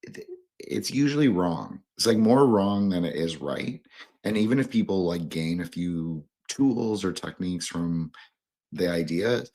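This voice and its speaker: Male podcast host, low and husky; loose, halting, digressive conversational delivery. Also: animated, warm tone. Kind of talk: casual, rambling discussion.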